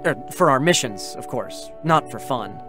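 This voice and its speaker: A man's voice: medium pitch, animated, moderate pace, music in the background, lightly acted. An actor playing an earnest, wistful young male android soldier in a dramatic reading, chatty and sentimental with boyish hesitations. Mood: nostalgic, wistful. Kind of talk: dramatic scripted reading with first-person narration.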